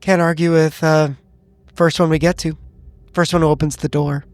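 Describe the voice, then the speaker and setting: A man's voice: on the high side, level tone, normal speed, dry recording. A man playing a federal agent in a tabletop game, giving brief, clipped in-character replies.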